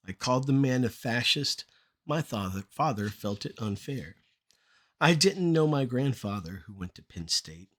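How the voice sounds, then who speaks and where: A man's voice: mid-range voice, fairly bright tone. Middle-aged man reciting spoken-word poetry.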